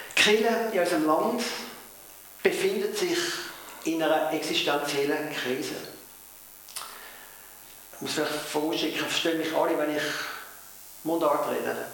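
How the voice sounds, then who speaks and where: Swiss preacher in an echoey church. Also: male voice, a little high, a bit bright. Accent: Swiss German. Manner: measured, rising emphasis on key phrases. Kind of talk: sermon.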